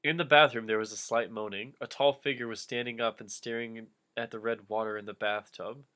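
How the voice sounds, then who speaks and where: A man's voice: mid-range voice, fairly even intonation, fairly quick, bright, forceful tone, clear, resonant tone. A man giving a comedic reading of fan fiction, breaking off into casual, jokey asides.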